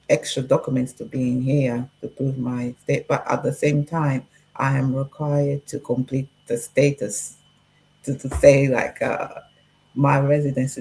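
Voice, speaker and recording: A woman in her forties, from Portugal, telling her own story in a relaxed podcast chat with frequent pauses and fillers. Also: extremely low pitch, low-quality recording. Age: 40s. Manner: reflective, laughs easily, many fillers. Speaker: guest. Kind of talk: casual podcast conversation.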